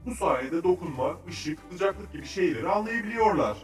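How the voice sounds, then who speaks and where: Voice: medium pitch, expressive, moderate pace, natural voice. A performer playing a cheerful, knowledgeable child who explains nature facts enthusiastically in a children's cartoon. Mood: helpful, instructive. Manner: instructive, enthusiastic, articulate. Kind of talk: children's educational cartoon dialogue. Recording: roomy, distant sound with faint music.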